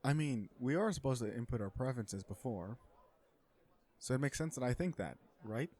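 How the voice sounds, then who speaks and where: A man playing an awkward, blunt, legal-minded villain on a blind date in an audio roleplay, hesitant and deadpan. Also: medium pitch, normal speed, close to an everyday voice. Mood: flustered, blunt. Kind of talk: romantic comedy audio roleplay, one side of a dialogue.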